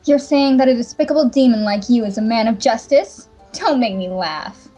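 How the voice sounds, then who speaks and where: Girl doing a righteous scornful champion for a game fandub, raspy voice, faint music behind. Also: a little high, expressive, normal speed, mellow. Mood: defiant. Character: defiant, righteous human champion woman.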